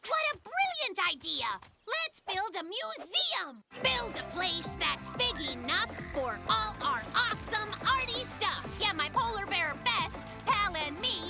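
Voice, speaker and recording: A boastful little cartoon bear cub with a very high, swooping voice. The delivery is theatrical and exclamatory, like a born fibber and schemer. Music plays clearly behind the voice. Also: big pitch swings, unhurried, few pauses, heavily acted. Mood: excited scheming. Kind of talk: children's animated cartoon acting.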